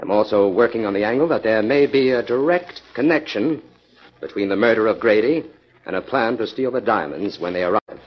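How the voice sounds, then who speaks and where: An actor playing a calm, confident crime investigator in a 1940s radio drama, speaking in a measured, authoritative way. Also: male voice, on the low side, lightly acted. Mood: businesslike. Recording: muffled, noisy 1940s radio recording.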